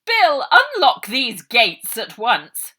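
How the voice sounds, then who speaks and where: A woman's voice: a little high, huge pitch swings, slow, sharp tone, voice pushed up, heavily acted. A woman voicing a stern, bossy orphanage matron with a shrieking, barking delivery. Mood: scolding.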